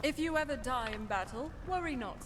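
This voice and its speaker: A woman's voice: high-pitched, expressive, fairly quick. A woman voicing a coolly commanding female necromancer companion in a fantasy video game. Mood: ominous. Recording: noisy game audio under commentary.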